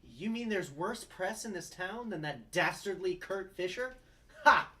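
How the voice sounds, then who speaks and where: Man acting a very animated, flustered young lawyer. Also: sits high, measured pace, heavily acted. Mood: indignant. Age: young adult. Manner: stammering, overdramatic, animated. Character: earnest, flustered young male lawyer, easily wounded. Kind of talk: sitcom table read.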